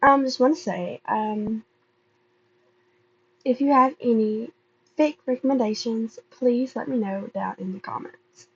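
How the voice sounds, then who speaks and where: A woman reading fan fiction aloud as an amateur narrator, halting and often stumbling over and repeating words. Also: a little high, breathy edge, lo-fi sound. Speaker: amateur podfic reader.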